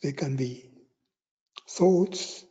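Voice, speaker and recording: Male meditation guide, very slow with long pauses, mellow and somewhat husky, slightly dull recording. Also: mid-range voice. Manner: unhurried, with long pauses between short phrases. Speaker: meditation teacher.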